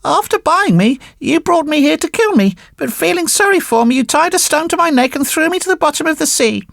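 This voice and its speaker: A sly marionette boy with a high, gravelly, mocking voice that bounces up and down as he gloats. The storybook puppet sounds impish and boastful. It is recorded close and dry. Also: expressive, brisk, fairly bright tone, dry recording, heavily acted. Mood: cheeky. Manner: playful, teasing storytelling. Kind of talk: audiobook reading.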